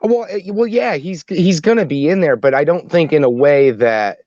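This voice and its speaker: Man, podcast co-host, casual discussion, halting delivery, dry room sound, slightly muffled recording. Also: on the high side, animated, a little mellow, dry recording.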